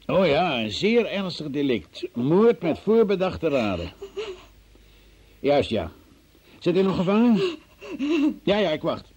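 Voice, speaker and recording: An actor playing a forceful police investigator in a radio drama, formal, probing and theatrical in his delivery, with a husky voice. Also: a little high, sing-song, slow pace, pauses often, heavily acted. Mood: brisk, businesslike. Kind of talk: Dutch radio drama acting.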